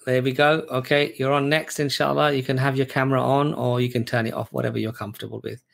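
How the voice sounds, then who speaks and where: A man's voice: medium pitch, flat delivery, fast, creaky. A man hosting a live call-in stream, talking conversationally, affirming his callers and repeating himself as he guides them. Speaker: host.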